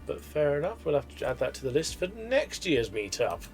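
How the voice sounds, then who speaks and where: A man's voice: on the high side, sing-song, a little fast, soft background music. A man interviewing casually as a podcast host.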